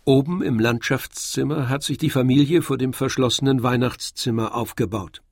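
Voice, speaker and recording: A man narrating a literary radio drama from a script in a measured, formal storytelling manner. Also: mid-range voice, fairly even intonation, dry recording. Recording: faint music behind the narration. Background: faint music.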